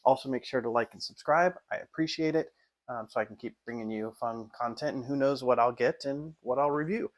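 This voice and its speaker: A man's voice: medium pitch, a little fast. A man giving a casual hands-on unboxing review in an informal, off-the-cuff way. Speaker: product reviewer.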